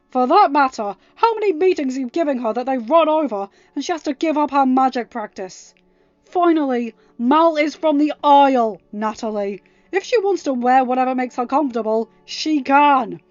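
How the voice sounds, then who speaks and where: A woman's voice: on the high side, darkish tone, no echo, lo-fi sound, natural voice. Woman doing a mad teen king, protective, growly n emphatic, story audio w music behind. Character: angry protective teenage king, stern boyfriend.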